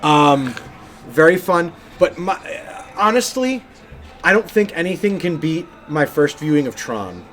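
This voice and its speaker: A man's voice: on the high side, very animated, a little slow, a bit bright. A man co-hosting a gaming podcast, chatting casually and enthusiastically. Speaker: podcast co-host and game streamer.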